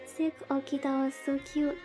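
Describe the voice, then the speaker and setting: Woman VTuber host, high voice, unhurried casual stream chat, mock-lamenting delivery, music underneath. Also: flat delivery, dark tone. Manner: playfully dramatic, lamenting. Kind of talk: casual livestream chat while playing a visual novel. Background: background music.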